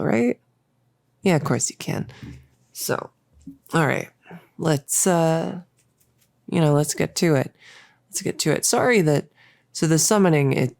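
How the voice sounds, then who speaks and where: Voice actor as an earnest awkward cultist, rambly and gently bossy, lots of vocal fry, super close dry mic, roleplay audio. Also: mid-range voice, no room sound, natural voice. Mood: friendly, coaxing, then apologetic, curious. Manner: halting, rambling, casual, awkwardly optimistic. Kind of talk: roleplay audio monologue, intimate casual delivery. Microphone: close, dry studio-style microphone.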